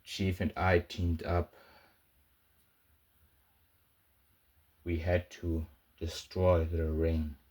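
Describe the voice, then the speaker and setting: Man acting a very deep-voiced, shaken soldier. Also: flat delivery, a little mellow. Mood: haunted. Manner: halting, broken into short phrases with heavy pauses. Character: battle-shaken soldier recounting a war in a grim audio log. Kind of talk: scripted first-person audio log narration, amateur sci-fi roleplay.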